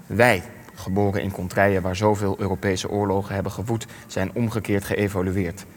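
An actor playing a weary, defensive elderly war veteran in a Dutch radio play, speaking deliberately with hesitations and rhetorical turns. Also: male voice, deep voice, level tone. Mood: bitter, reflective. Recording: long reverberant hall.

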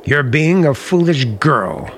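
An actor playing a charming but menacing uncle in old-time radio drama, with smooth, hesitant, genial talk that masks a threat. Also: male voice, on the high side, big pitch swings, slow pace, a little hiss or hum, full character voice. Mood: coldly menacing. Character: charming, menacing uncle hiding a dark secret.

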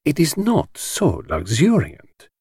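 A man's voice: fairly high pitch, huge pitch swings, takes it slowly, darkish tone, slightly rough, no room sound, clean studio sound, pitched above the performer's own voice, heavily acted. A man playing a courteous, vain older foreign detective in an audiobook, speaking with precise, formal politeness. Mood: self-satisfied. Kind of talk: audiobook character voice.

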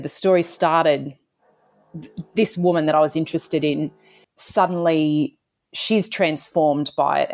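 A woman from Australia talks through her writing in an interview, her voice sitting low and unhurried. She wanders through her thoughts, circling back and restarting as she goes. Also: a little mellow. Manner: thoughtful, meandering, many fillers and restarts. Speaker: author. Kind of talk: interview answers.

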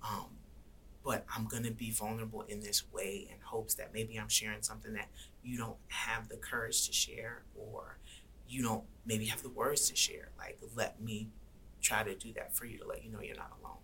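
A woman speaks earnestly and reflectively in an interview, her voice gravelly and low yet bright and forceful. She sometimes drops to soft, near-whispered asides. A faint hiss of background noise sits under her voice. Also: little pitch movement, moderate pace, low-quality recording. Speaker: guest rapper and activist. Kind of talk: conversational interview.

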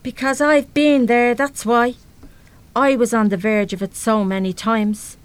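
A woman's voice: on the high side, level tone, a little slow, clear, resonant tone, close to an everyday voice. A woman with an Irish accent playing a battered but defiant working-class mother in a live radio play. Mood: low-key, prying. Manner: blunt, salty, plain-spoken.

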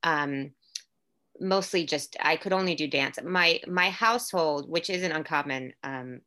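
A woman's voice: sits low, unhurried, sharp tone. A young adult woman from Puerto Rico, raised in Florida, talking casually as a guest with many fillers and restarts. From Puerto Rico, raised in Orlando, Florida. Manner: chatty, lots of fillers and restarts. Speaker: guest, actor. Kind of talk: casual conversation.

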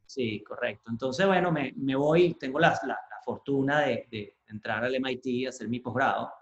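A Venezuelan man with a mid-pitched voice speaks as an interview guest. He talks casually and haltingly, doubling back on words and dropping in English terms. The sound is roomy and slightly dull. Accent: Venezuelan Spanish. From Caracas, Venezuela. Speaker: interview guest, business professional. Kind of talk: casual conversational interview, personal storytelling.

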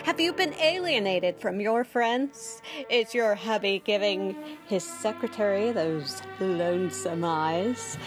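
Vintage female commercial voice: slow, sweet, deliberate sell, radio spoof, clear music bed. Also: medium pitch, animated. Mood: sweetly selling. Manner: coaxing, deliberate salesmanship. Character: sugary, cheery female radio advertisement pitchwoman. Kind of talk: 1930s radio commercial parody.

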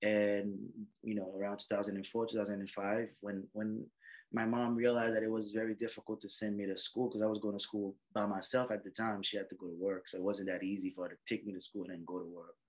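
A lower-pitched man talks through his life story in a relaxed interview. He rambles, circles back and fills pauses with "um" and "you know". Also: low-quality recording. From Haiti. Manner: rambling, many fillers and restarts. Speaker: emergency medicine doctor, guest. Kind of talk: casual interview, personal story.

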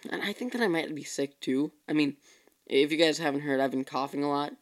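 A tired young man hosts his own casual podcast, rambling along and correcting himself as he goes. His voice sits a little high for a man and comes through smooth and clear. It is relaxed solo chat aimed straight at his listeners. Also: a little fast. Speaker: podcast host.

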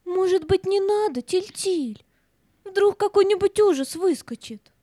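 A woman's voice: extremely high pitch, animated, a little slow, dark tone, dry recording, clean studio sound. A woman playing a timid, eager little girl in an audio play, childlike and pleading, with music behind her. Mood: timid, worried.

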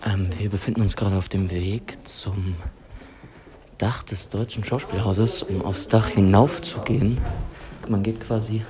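A man's voice: lowish voice, steady tone, unhurried, a crowd in the background. A man describing his surroundings piece by piece on location, as a podcaster exploring a building. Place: on the move around a theatre building. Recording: clear ambient background noise.

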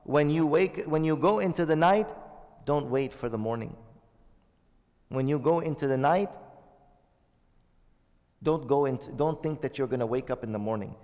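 A man's voice: a little high, expressive, normal speed, pauses often, darkish tone. Male religious teacher with a slightly raised voice, questioning his listeners, recorded in a hall with long reverb. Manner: explains Arabic terms, asks the audience questions. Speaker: Islamic teacher. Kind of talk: hadith lesson to a congregation.

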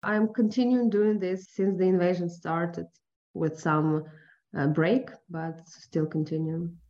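A woman with a Ukrainian accent answers interview questions in a lowish voice, pausing often to search for the right English word. The sound is muffled and lo-fi, as if coming down a phone line. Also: steady tone, warm tone. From eastern Ukraine. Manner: careful, searching for words with many fillers. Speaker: journalist guest. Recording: dull phone-line audio.

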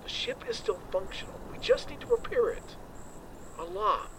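A frantic, scolding crewman in a sci-fi audio drama. His voice is extremely high and leaps around wildly, set in noisy ambience. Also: wildly swooping pitch, moderate pace. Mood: panicked scolding. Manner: agitated, exclamatory.

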